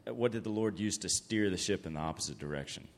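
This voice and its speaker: A man's voice: sits low, fast talker, vocal fry. A man, casually asking a couple probing questions at a conference. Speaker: interviewer at conference.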